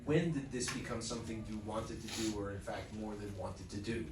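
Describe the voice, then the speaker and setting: A man's voice: on the low side, steady tone, normal speed. A man interviewing a candidate on stage, asking wry, winding questions with many restarts. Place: venue with live audience. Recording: roomy, distant mic, audible room noise.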